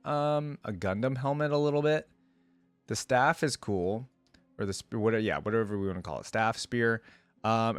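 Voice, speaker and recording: A man's voice: mid-range voice, no echo. A man giving informal, rambling and opinionated commentary in a casual reaction video. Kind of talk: casual reaction commentary, partly reading aloud.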